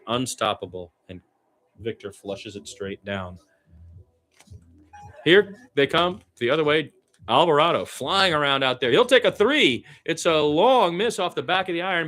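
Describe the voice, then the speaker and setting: A man doing basketball radio play-by-play, candid and colloquial, with casual partisan asides and an excitable streak on big plays. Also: on the high side. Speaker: play-by-play commentator.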